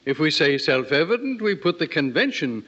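A man's voice: on the high side, very animated, moderate pace, a bit bright. An actor playing a shrewd, conciliatory statesman who reasons tactfully in an old-time radio drama. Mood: diplomatic. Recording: dull vintage radio recording.

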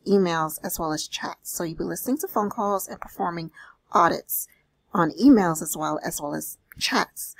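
A woman doing an explanatory voice-over, reading out and paraphrasing listings in a deliberate, instructional manner with small check-ins. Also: medium pitch, no echo. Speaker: host of a job-leads channel.

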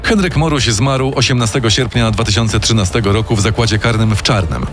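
A man narrating a scripted true-crime radio story in a measured, dramatic way. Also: lowish voice. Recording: narration over loud music.

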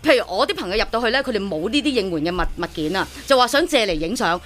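A woman's voice: on the high side, animated, racing, a bit bright. A woman with a Hong Kong Cantonese accent chatting casually as a radio show host.

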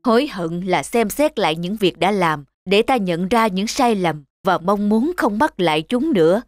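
A man voicing a calm spirit of death in a cartoon, speaking in a measured, philosophical, instructive way. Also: sits high, no room sound, clean studio sound, faint music behind. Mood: solemn, advising. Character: calm male spirit of death, solemn guide to the dead. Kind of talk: cartoon voice acting.

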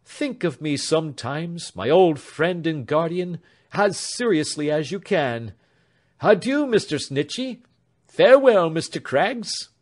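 An earnest young man speaks with fervent tenderness, his voice raised and singing up and down, as a man reads him aloud in a storybook. Each phrase rises high and emotional. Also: expressive, slow pace, darkish tone.

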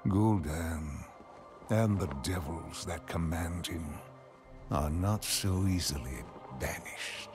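A weary, grave archmage in a game cinematic. His voice is deep and solemn, measured and slow, with music behind it. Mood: grave foreboding. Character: grave, weary grey-haired male archmage.

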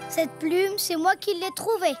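Little boy donkey, preschool cartoon, cheerful, childlike, very high voice, slow-paced, exclaiming. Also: steady tone. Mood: proud, excited. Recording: music behind the voice.

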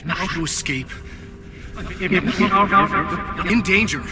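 A man's voice: sits high, drawn-out words. An actor voicing a cryptic disembodied man in a video game, calling out urgent warnings in broken fragments. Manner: fragmented short phrases. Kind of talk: video game drama acting. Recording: loud music behind the voice.

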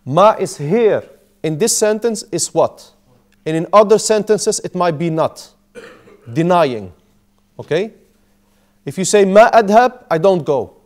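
A man's voice: high-pitched, animated, unhurried, pauses often. A man in his thirties teaching a language class in a small classroom, patiently repeating and explaining each word. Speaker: Arabic teacher. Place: small indoor classroom with whiteboard.

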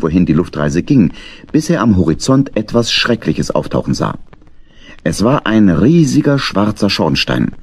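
Male narrator, children's tale: very deep, husky, animated, dry sound. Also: dry recording. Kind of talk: dramatic children's story narration.

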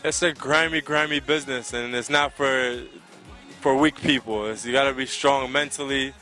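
A man's voice: medium pitch, steady tone, normal speed, pushed and bright, faint music behind. A man in his thirties with an American accent, answering interview questions in an earnest, conversational way into a handheld microphone outdoors. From Connecticut, United States. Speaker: soca singer being interviewed. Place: outdoors by a riverside. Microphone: handheld microphone held close to the mouth.

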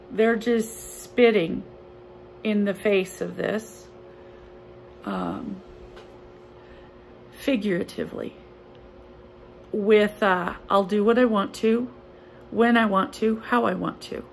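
A woman in her sixties, a pastor preaching a sermon from her living room into a close-up phone camera, speaking slowly and earnestly in a conversational way with a few fillers. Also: medium pitch, a little hiss or hum. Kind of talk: home-recorded sermon. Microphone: phone or webcam close up.

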